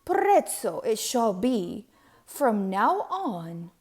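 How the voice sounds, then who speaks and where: A woman's voice: a little high, very animated, very slow, darkish tone, full character voice. A woman voicing a pompous, commanding storybook Duke in a stately, declaring manner.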